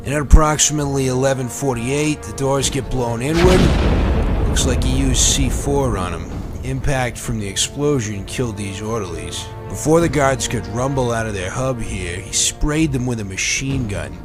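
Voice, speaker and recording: A man's voice: mid-range voice, normal speed, over loud music, close to an everyday voice. Man playing matter-of-fact police investigator. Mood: businesslike. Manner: clipped, factual briefing. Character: matter-of-fact male police investigator reporting crime scene. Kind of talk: comic-book audio drama acting.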